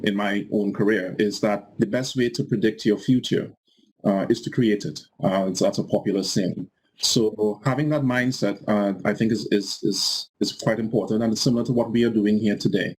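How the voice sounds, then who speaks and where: A man speaking as a panelist, measured and a little halting, with fillers between his points. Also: on the low side, darkish tone, breathy edge, low-quality recording. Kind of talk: panel discussion.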